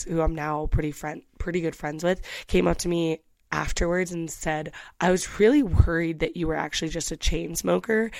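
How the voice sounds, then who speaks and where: A woman's voice: low voice, no echo. A woman in her twenties chatting candidly to the camera, with lots of restarts and asides. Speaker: vlogger. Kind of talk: casual storytelling to camera.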